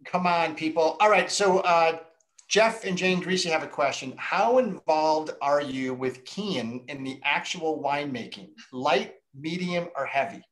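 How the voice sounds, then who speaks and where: A man's voice: a little high, measured pace, fairly bright tone. Middle-aged American man hosting a video call. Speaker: host of a virtual wine tasting. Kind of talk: casual chat. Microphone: video call microphone.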